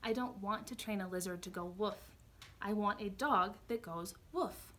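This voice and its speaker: A woman's voice: mid-range voice, normal speed, fairly bright tone, slight background noise, natural voice. A woman reading as a stubborn dog-loving kid. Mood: insistent. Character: stubborn child who only wants a dog.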